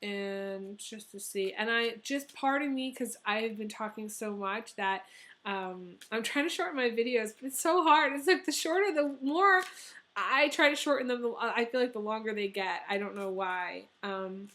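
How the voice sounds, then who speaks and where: A young woman with a hoarse, fading voice, reading tarot in a casual, rambling way with many pauses and ums. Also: fairly high pitch, a bit bright. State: hoarse, losing her voice. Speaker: tarot reader.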